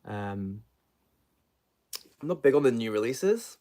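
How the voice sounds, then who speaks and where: A man's voice: mid-range voice, very animated, slow pace, dark tone. A man hosting an unscripted book livestream, chatting casually in a rambling, hesitant way and often trailing off mid-thought.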